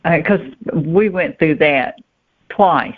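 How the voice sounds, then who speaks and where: A woman's voice: low-pitched, takes it slowly. Woman on a thin phone line. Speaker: guest novelist. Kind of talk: conversational interview answers.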